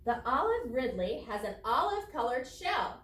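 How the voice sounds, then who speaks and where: A woman teacher reading aloud to children and explaining each step in a deliberate, instructive way. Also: sits high, big pitch swings, a bit bright. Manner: deliberate, explanatory, spelling out steps for young pupils. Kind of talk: children's read-aloud lesson with think-aloud commentary. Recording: roomy echo, distant from microphone.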